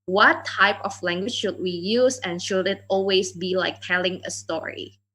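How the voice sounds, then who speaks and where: Woman moderator, mid pitch, bright voice, relaxed and loose. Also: measured pace, breathy edge. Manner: relaxed, loose phrasing with fillers. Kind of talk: online Q&A hosting.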